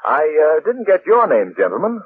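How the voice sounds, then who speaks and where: An actor playing a composed, courteous gentleman who quietly takes charge, with a polite, measured manner in old-time radio drama style. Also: fairly high pitch, wildly swooping pitch. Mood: calm, courteous. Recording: tinny, hissy old radio recording.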